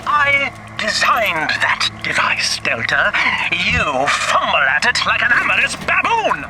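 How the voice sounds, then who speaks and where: A man's voice: high voice, sing-song, takes it slowly, background noise, full character voice. An actor playing a flamboyant, mocking male villain in a video game, theatrical and scolding. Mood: exasperated scorn.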